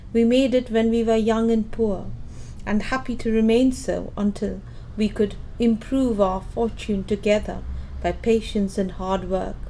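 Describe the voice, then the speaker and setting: A woman acting as a sad, earnest young fiancée in an amateur audio play, gently reproachful and measured. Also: medium pitch, slight background noise, natural voice, low-quality recording.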